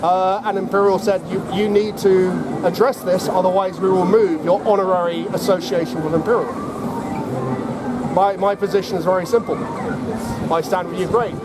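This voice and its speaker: A man from Hong Kong giving a personal account to a gathering, in a halting, measured way. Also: high voice, fairly even intonation, a loud crowd. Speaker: guest speaker, honorary lecturer. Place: outdoors in an urban public space. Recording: very noisy outdoor street with traffic.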